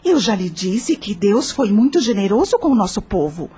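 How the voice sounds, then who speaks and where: A woman playing a tender, anxious mother in a radio play, with a husky voice. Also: mid-range voice, very animated, normal speed, mellow, slight background noise, natural voice. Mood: worried, scolding.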